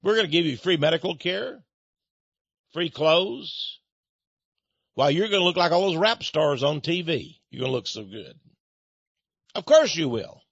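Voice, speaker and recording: A man's voice: on the high side, animated, a little slow, long pauses, a bit bright, slightly rough, dry recording, dull audio. A man hosting a radio talk show, delivering a rambling, sarcastic monologue straight to his listeners. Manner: sarcastic, mocking; rambling, addresses listeners as folks. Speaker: radio host.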